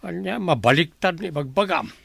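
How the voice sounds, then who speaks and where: A man's voice: fairly high pitch, expressive, moderate pace, gravelly, fairly bright tone, slightly rough, no echo, full character voice. An actor with a Filipino accent playing a sensible, moralizing village man in a radio drama. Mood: admonishing. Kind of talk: Ilocano radio drama acting.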